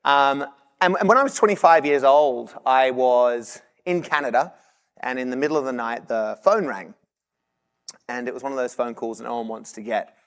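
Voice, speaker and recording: An Australian man in his thirties delivers a motivational keynote from a conference stage, his voice sitting at an everyday middle pitch. He talks in a lively way and jokes easily with the crowd. A long reverb trails behind him in the big hall. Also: normal speed, clear, resonant tone. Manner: lively, engaging the audience with humor. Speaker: keynote speaker. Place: large convention hall.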